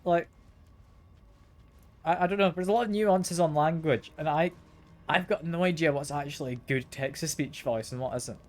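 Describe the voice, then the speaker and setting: A man's voice: on the high side, normal speed, long pauses, darkish tone. A man streaming a video game, slightly ill and congested, chatting casually and often repeating words as he plays. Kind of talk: casual gameplay commentary. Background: game music.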